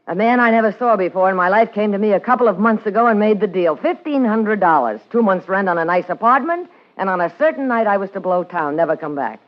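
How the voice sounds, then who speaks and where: A woman's voice: medium pitch, animated, fast, hardly any pauses, natural voice. An actress with an American accent playing a hard-boiled, wisecracking party girl and blackmailer in a vintage radio drama, with a tough, sardonic manner. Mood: tough, defiant. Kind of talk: 1950s radio noir acting, narration and dialogue. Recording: muffled vintage radio recording with music.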